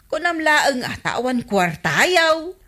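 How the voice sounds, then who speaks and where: A woman's voice: sits high, big pitch swings, slow, sharp tone, full character voice. A woman with a Filipino accent playing an envious, teasing married village woman in a radio comedy drama.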